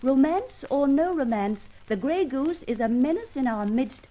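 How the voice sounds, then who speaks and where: An actress playing a thief's sceptical, concerned British confidante in an old-time radio drama, formal and reproving. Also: female voice, sits high, lively intonation, a little slow, dark tone, dull audio. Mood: grave reading aloud.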